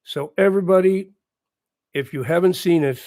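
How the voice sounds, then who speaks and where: A man with a hoarse voice from a recent bout of laryngitis, hosting a casual livestream and chatting quietly and encouragingly with his viewers. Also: high voice, normal speed, dry recording, clean studio sound. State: hoarse, recovering lost voice. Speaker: livestream host. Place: at home with morning coffee.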